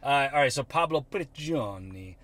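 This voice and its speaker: A man hosting a casual sports podcast, joking and teasing, quick to throw in silly voices. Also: mid-range voice, animated, fairly bright tone. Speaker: podcast host.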